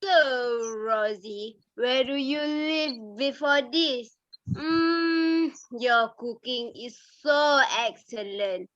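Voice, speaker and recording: A woman playing a nosy, sharp-tongued village gossip with drawn-out, exaggerated hums in a dramatised story reading. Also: sits high, dry recording, highs cut off, exaggerated voice. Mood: sweetly prying.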